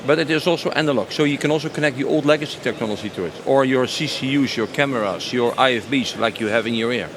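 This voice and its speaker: A man's voice: mid-range voice, quick pace, few pauses. Man in his fifties, noisy location. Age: 50s. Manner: enthusiastic, emphatic, repeats phrases. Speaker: company sales director being interviewed. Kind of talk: spontaneous interview answers. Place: trade show stand. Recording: noisy busy exhibition location, clear background noise.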